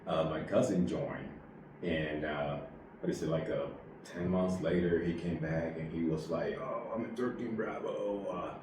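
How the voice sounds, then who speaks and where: A very deep-voiced man from Puerto Rico rambles through his story with plenty of fillers and restarts. He sounds set back from the microphone in a very echoey room, with steady noise behind him. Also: distant mic. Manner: rambling, halting, many fillers. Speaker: guest, army veteran. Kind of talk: casual interview storytelling.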